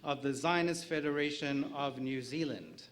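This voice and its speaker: A man's voice: mid-range voice, normal speed. A middle-aged man from South Africa with a South African accent, moderating a conference panel, reading introductions with small slips and light jokes.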